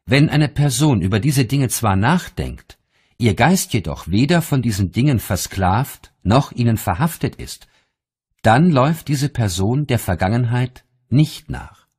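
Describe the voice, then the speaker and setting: Lively-pitched, slightly husky male audiobook narrator. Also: measured pace. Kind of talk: measured audiobook reading of spiritual teachings.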